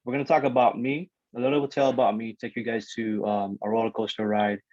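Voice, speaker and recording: A young man from the Philippines, raised on Guam, whose first languages are Ilocano and Tagalog, giving a relaxed, chatty alumni talk over a video call with lots of fillers and restarts. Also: medium pitch, brisk, no room sound. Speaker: alumni guest speaker. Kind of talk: casual presentation on a video call. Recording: dry, slightly dull call audio.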